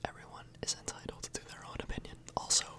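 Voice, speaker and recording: A woman speaks entirely in a soft, breathy whisper. She rambles casually in an ASMR style, hesitating and correcting herself as she chats to her viewers. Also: fast. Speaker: ASMR YouTuber, host. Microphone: microphone a little further away than usual.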